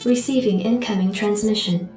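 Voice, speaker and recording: A woman voices a calm military computer adjutant reading out formal briefings in a game. Her voice sounds echoey and set back from the mic. Faint music runs underneath. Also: mid-range voice, normal speed, lightly acted. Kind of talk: video game mission briefing. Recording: echoey, distant comms voice.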